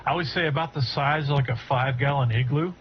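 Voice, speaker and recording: A man narrating a tabletop game as game master, halting, with many fillers and restarts. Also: medium pitch, a little hiss or hum. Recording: dull lo-fi audio.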